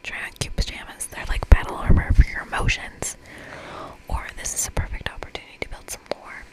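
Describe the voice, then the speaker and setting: A woman whispering softly as an ASMR host, reading a game aloud expressively. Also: brisk, rough recording. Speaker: ASMR host playing a visual novel game.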